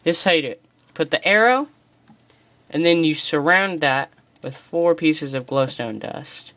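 A channel host giving chatty, excitable game commentary, explaining features and joking about their own slips, recorded on a phone filming a screen. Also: mid-range voice, a little slow, no echo. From United States. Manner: chatty, excitable, self-deprecating. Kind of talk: casual game commentary tutorial.

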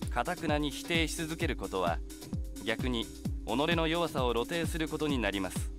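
A man voicing a calm, formal announcer voice that instructs a trainee in a visual novel. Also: a little high, fast talker, natural voice. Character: calm male facility announcer voice. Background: game music.

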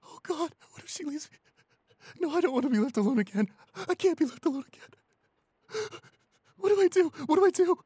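A man's voice: very high-pitched, lively intonation, brisk, long pauses, dark tone, no echo. A man playing a shy, anxious young boyfriend in an audio roleplay, tearful and distraught, stammering and frantically repeating himself. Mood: frightened panic.

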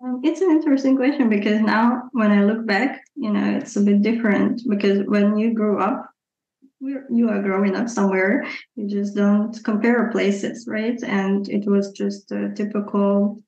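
Ukrainian woman, gravelly, hesitant. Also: mid-range voice, steady tone, moderate pace, darkish tone, reverberant, lo-fi sound. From Luhansk, eastern Ukraine. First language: Russian. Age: 30s. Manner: hesitant, many ums, careful phrasing. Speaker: guest. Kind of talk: interview answers.

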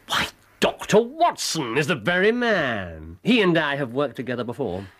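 An actor playing a brilliant, aloof English detective in a radio drama, precise, musing and dryly amused. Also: male voice, on the high side, sing-song, unhurried, a bit bright, full character voice. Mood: musing, brisk.

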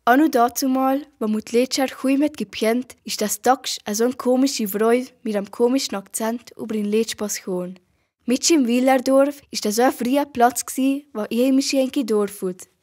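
A woman's voice: a little high, moderate pace, a little mellow. A female narrator with a Valais Swiss German accent telling a scripted story in an amateur radio play.

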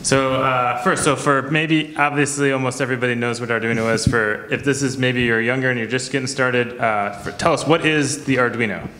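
A man in his thirties asks friendly, halting questions full of fillers. His voice has a middling pitch and echoes around an office studio, with a faint hiss behind it. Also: steady tone, sharp tone. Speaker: host. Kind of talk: casual interview questions. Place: office studio with a roomy echo. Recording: echoey room with faint noise.

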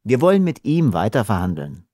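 A man's voice: on the low side, huge pitch swings, fairly quick, a little mellow, no room sound, studio quality. Man reading as shrewd, matter-of-fact Beaver. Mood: brisk. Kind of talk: audiobook character acting.

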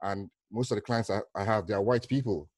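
Middle aged nigerian guy on a video call, low voice, dry close headset sound, kinda rephrases stuff as he talks. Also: fairly quick, a little mellow, no echo. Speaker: counsellor, interview guest. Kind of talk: conversational interview answers. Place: small room at home, on a video call.

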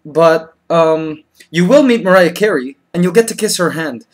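Man, 30s, high-pitched vlogger, patient and amused. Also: lively intonation, moderate pace. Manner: patient then exasperated, laughing. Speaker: comedy vlogger. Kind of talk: comedy sketch vlog. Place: small bedroom, indoors.

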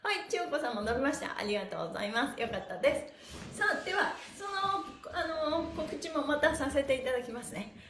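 A woman's voice: high-pitched, animated, fast, fairly bright tone, a little hiss or hum. A middle-aged woman hosting a live stretching class, giving cheerful, encouraging calls and friendly chat with playful polite wording. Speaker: stretching instructor and livestream host. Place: small bare indoor room with wooden floor. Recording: echoey room with background music. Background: music.

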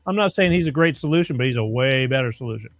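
A somewhat raspy man hosting an informal political talk show, plain-spoken and repeating phrases for emphasis. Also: mid-range voice, lively intonation, quick pace, no echo. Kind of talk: informal live opinion monologue to listeners.

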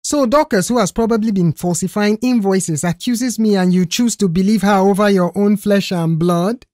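A woman's voice: medium pitch, normal speed, warm tone, dry recording, clean studio sound, voice pushed up, natural voice. A woman voicing a theatrical, indignant young male troublemaker in an audio story, protesting dramatically. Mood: aggrieved.

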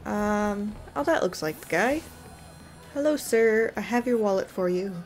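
A woman's voice: medium pitch, expressive, unhurried, soft background music. A woman giving casual, wry commentary as she plays a game, thinking aloud. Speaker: gaming video creator.

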